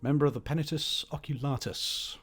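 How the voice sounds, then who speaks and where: A slightly hoarse man giving rambling, wry, opinionated commentary while playing a game. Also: on the high side, steady tone, fairly quick, a bit bright. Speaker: gaming host. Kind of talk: casual gameplay commentary.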